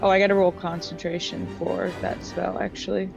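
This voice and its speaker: A woman's voice: lowish voice, little pitch movement, normal speed, gravelly. A woman playing a tabletop game, chatting casually and playfully with slangy, eager delivery. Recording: dull lo-fi audio, music behind. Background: music.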